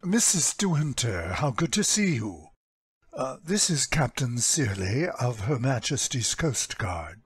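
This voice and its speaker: A man playing a kindly, courteous gentleman who speaks haltingly in old-time radio drama style, with a husky voice. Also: medium pitch, big pitch swings, no room sound, clean studio sound, full character voice. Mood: polite, uneasy. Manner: halting, polite.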